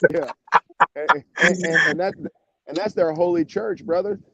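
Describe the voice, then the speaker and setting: A man's voice: on the high side, a little slow, sharp tone, poor audio. An American man chatting casually as an interview guest, in a rambling, informal way. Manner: rambling, informal, peppered with "you know" and "man". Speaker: band musician, interview guest.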